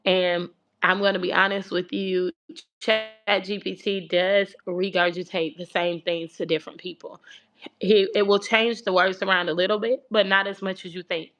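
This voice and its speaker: A woman's voice: medium pitch, little pitch movement, normal speed, a bit bright, muffled recording. Woman with a childlike baby voice. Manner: chatty and rambling, repeats herself, speaks with faith. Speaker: host of a relocation livestream. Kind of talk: casual livestream talk to viewers. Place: home abroad in Kenya. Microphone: phone livestream.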